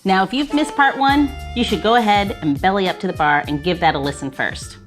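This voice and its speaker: A woman's voice: medium pitch, fairly quick, faint music behind. A woman hosting a podcast interview, chatty and giggly with lots of fillers. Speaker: podcast host. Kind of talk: casual chat interview.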